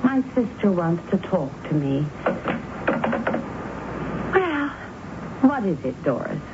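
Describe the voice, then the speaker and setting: A woman's voice: on the low side, big pitch swings, takes it slowly. An actress playing a naive married woman devoted to her dog, in a 1970s radio drama. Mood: placating. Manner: flirty, pleading, sweetly defensive. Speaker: sister. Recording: muffled old radio broadcast with hiss.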